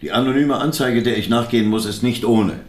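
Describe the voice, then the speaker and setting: An actor playing a persistent investigator in a radio drama, questioning in a measured, probing way. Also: male voice, medium pitch, natural voice. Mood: businesslike. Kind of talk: naturalistic radio drama acting. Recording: echoey room with faint background ambience.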